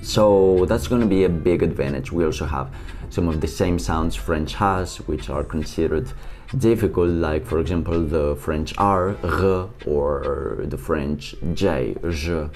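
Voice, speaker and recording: Young man, European Portuguese accent, very low voice, chatty solo vlogger, faint music bed. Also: darkish tone. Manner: chatty and upbeat, quick asides. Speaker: language-learning YouTuber. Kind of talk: casual solo vlog.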